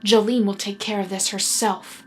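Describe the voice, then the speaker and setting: A menacing woman in command as a female narrator puts her on, delivering audio drama dialogue over clear background music. She is controlled and scornful, mocking her listener with bitter authority. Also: medium pitch, little pitch movement, moderate pace, close to an everyday voice.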